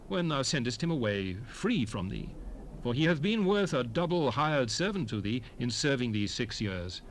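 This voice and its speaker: Man playing a solemn, expressive scripture lawgiver. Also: medium pitch, close to an everyday voice, low-quality recording. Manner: solemn, declamatory, measured phrasing. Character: solemn male lawgiver proclaiming commandments from scripture. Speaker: dramatized Bible reader. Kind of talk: dramatized King James scripture recitation. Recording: hissy 1970s stereo cassette rip.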